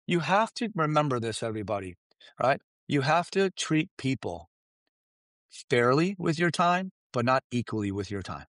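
Guest expert, male, first language Farsi, animated and emphatic, lively pitch, dry close sound. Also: moderate pace, no echo. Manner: emphatic, animated, keeps asking "right?" and "you understand?". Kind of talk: energetic business coaching talk.

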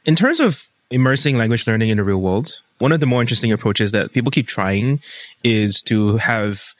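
A man's voice: medium pitch, expressive, no room sound. Singaporean man asking joking questions. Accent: Singaporean English. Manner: quick, joking asides. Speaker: podcast host. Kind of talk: casual interview questions.

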